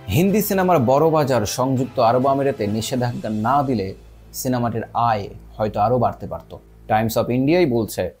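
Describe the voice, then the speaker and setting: A man presents a film analysis in scripted narration. His voice sits at an ordinary middle pitch as he addresses his viewers. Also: a little mellow.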